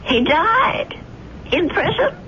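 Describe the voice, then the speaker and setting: A woman's voice: very high-pitched, big pitch swings, slow, pushed and bright, heavily acted. An actress playing a troubled, hesitant elderly landlady in a 1970s radio drama. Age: older. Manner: fretful, hesitant, swooping intonation. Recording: dull, noisy old radio broadcast.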